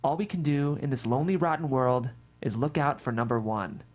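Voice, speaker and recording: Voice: mid-range voice, fairly quick. A narrator reading scripted stage directions at a table read of a screenplay.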